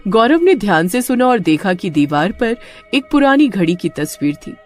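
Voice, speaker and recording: A woman's voice: medium pitch, very animated, normal speed, faint music behind. A woman reading a scripted story as narrator, in a dramatic storytelling manner.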